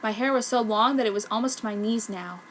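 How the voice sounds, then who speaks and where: A woman's voice: on the high side, quick pace, fairly bright tone, slight background noise. A woman giving a theatrical amateur reading of fan fiction. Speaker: amateur fanfiction reader.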